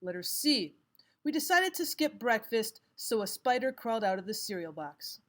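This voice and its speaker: A woman with a somewhat high-pitched voice narrates a scripted lesson. She speaks as a teacher reading exercises aloud, in a measured and instructional manner. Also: sing-song. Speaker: English grammar teacher.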